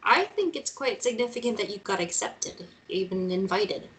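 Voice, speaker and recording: A woman's voice: medium pitch, lively intonation, normal speed, fairly bright tone, far from the mic, natural voice. Woman acting inquisitive journalist, with background noise. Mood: wry. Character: Pixie, inquisitive woman journalist, plain-spoken and wry. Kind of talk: table read of a screenplay.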